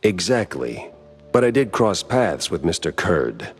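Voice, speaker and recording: An actor playing a wisecracking, deadpan hard-boiled mouse detective in a noir cartoon game. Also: male voice, sits low, a little slow, husky, soft background music, exaggerated voice. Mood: wry. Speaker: private investigator protagonist.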